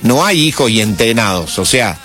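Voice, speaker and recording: A man's voice: lowish voice, sing-song, moderate pace, fairly bright tone, faint music behind. A man with an Argentine accent giving pointed, conversational sports commentary on radio, full of rhetorical questions. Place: radio studio.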